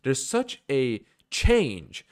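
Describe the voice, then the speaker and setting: A man delivers informal religious commentary in a middling-pitched voice, recorded dry. He is emphatic and colloquial, moving between reading and explaining, and he likes to drive points home with repeated rhetorical questions. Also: wildly swooping pitch, very slow, dry recording.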